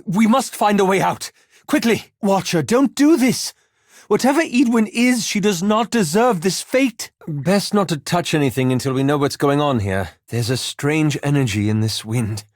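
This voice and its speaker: A man's voice: a little high, animated, moderate pace, dry recording, clean studio sound, close to an everyday voice. An actor voicing a cautious, scholarly young male elf wizard in a video game, formal and dryly sardonic, with precise articulation. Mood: urgent, pleading, then curious, careful. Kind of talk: scripted video game voice lines.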